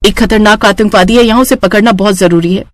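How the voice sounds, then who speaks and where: A woman voicing a brave, firm female police inspector in an animated story. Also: medium pitch, a little fast, no room sound, lightly acted. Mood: resolute. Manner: firm, resolute.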